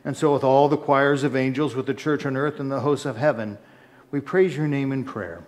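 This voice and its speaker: A middle-aged man, a pastor, leading intercessory prayers at the altar of a large church, in a measured, solemn intoning manner that lifts for the liturgy. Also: mid-range voice, steady tone. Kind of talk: liturgical prayer and chanted-style preface. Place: church sanctuary, indoors, large. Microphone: far camera, altar mic.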